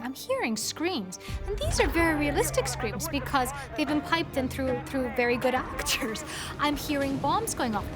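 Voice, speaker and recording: A woman interviewed as a commentator, explaining conversationally and posing rhetorical questions. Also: high voice. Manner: rhetorical, asks and answers own questions.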